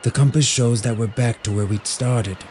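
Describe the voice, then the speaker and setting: A man's voice: mid-range voice, fairly even intonation, normal speed, a little mellow, a little hiss or hum, close to an everyday voice. A man voicing a blunt, practical sea captain in an audio drama. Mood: frustrated.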